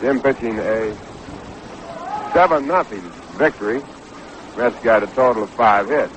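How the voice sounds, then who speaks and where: An American man calling baseball play-by-play on the radio in a measured, descriptive way. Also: mid-range voice. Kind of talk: live radio sports commentary. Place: ballpark broadcast booth. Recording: noisy 1960s AM radio broadcast.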